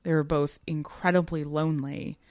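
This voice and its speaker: A woman co-hosting a podcast, talking through a story in a casual, animated and emphatic way. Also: deep voice, moderate pace, no room sound, studio quality. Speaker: podcast co-host. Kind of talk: casual literary discussion chat.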